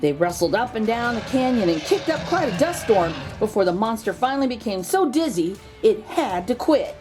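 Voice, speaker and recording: Female storybook narrator, expressive and animated, leaning on key words for young listeners. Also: on the high side, moderate pace, faint music behind. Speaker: children's book read-aloud host. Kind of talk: expressive storybook read-aloud.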